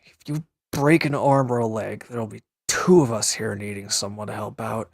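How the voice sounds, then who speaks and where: A man acting a gruff, guarded former villain in a roleplay audio drama, curt and grumbling, reluctant to lean on anyone. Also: fairly low pitch, moderate pace, no echo, clean studio sound. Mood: exasperated. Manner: curt, grumbling, defensive, with sighs and hesitant breaks. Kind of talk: scripted roleplay audio drama addressed to the listener.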